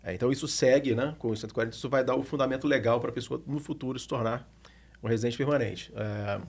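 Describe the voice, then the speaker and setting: A Brazilian-accented man talks through explanations on a podcast. His voice is gravelly and rough-edged at a middle pitch, and he repeats small words while he gathers his thoughts. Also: fast talker, a little mellow. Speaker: immigration lawyer.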